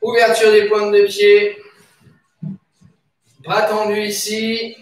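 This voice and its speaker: A middle-aged man leading an exercise class with short, energetic cues while moving. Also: sits high, slow. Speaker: fitness instructor. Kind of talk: exercise class instruction with counted repetitions. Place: indoors, bare room with wooden floor. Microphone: far camera microphone. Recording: echoey room, distant microphone, music behind.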